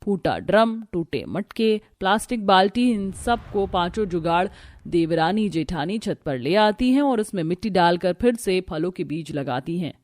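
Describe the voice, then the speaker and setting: A woman's voice: lowish voice, expressive, moderate pace, a little mellow, no room sound. A woman narrator reading a scripted family story for a cartoon.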